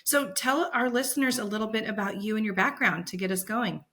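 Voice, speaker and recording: A woman's voice: medium pitch, a little fast, a bit bright. A woman hosting an interview podcast, friendly and encouraging as she asks questions. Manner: friendly, encouraging, laughs easily. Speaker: podcast host. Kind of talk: conversational interview.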